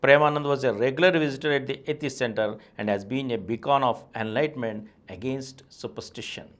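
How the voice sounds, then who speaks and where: A middle-aged man with an Indian English accent reads a nonfiction book aloud at a steady, deliberate pace, his eyes on the page. His voice sits in an ordinary mid pitch for a man, close on a lapel mic in a small studio. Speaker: channel host reading a book aloud. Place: small studio with a painted backdrop and plants.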